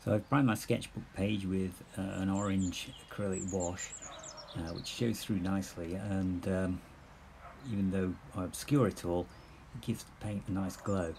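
Low-voiced man painting outdoors with birdsong. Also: moderate pace, a little hiss or hum. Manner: relaxed, explanatory, with many fillers. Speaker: painting instructor. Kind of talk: plein air painting demonstration. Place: outdoors in a sunny churchyard. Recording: outdoor recording with clear birdsong. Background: birdsong and outdoor ambience.

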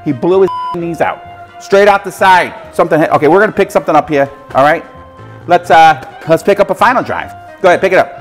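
Prank host, man, 40s, fake inspector: high-pitched, rambling, mock-authoritative, faint music under a big warehouse. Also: brisk. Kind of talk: improvised deadpan prank bluster. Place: large indoor warehouse.

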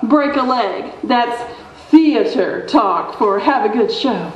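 A woman's voice: on the high side, animated, takes it slowly, a little mellow. A woman playing a breezy, condescending small-town theater director in a radio show performed on stage. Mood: breezy, instructive. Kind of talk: old-time radio show acting on stage. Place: theater stage before an audience. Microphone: stand microphone, camera at a distance. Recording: dull, echoey live stage recording.

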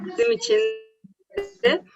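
A woman guest speaking in short, halting remarks on an internet call. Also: soft background music. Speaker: hospital administrator, guest. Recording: dull, dry internet call audio.

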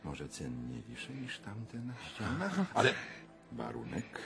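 An actor playing a controlling, scheming secretary to a prince in a radio drama, with a firm, persuasive manner. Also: male voice, fairly low pitch, huge pitch swings, slow, creaky, fairly bright tone, full character voice. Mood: discreet persuasion.

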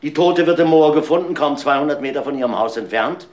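An actor playing an insistent, accusing police inspector in a German crime audio play, questioning with deliberate emphasis. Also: male voice, on the high side, darkish tone, natural voice. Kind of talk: German radio crime drama acting.

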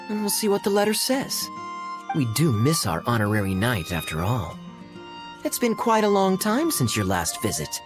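A man voicing a suave young male knight captain in a video game, with a smooth, teasing manner. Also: medium pitch.

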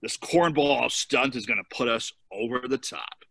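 A man playing a brisk, blunt political campaign advisor with a husky voice in an amateur cartoon table read. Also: mid-range voice, a bit bright, dry recording. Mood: brisk, urgent. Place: home room, on a video call.

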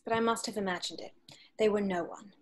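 A woman's voice: medium pitch, fairly even intonation, a little fast, close to an everyday voice. A woman playing a guarded, wistful young Jedi, earnest and understated, at a script table read. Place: home, over video call.

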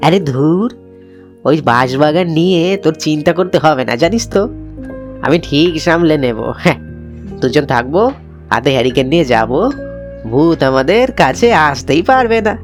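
A man's voice: on the high side, lively intonation, moderate pace, soft background music, lightly acted. A man voicing a bold, coaxing village schoolboy with schemes, in a cartoon story. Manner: coaxing, confident.

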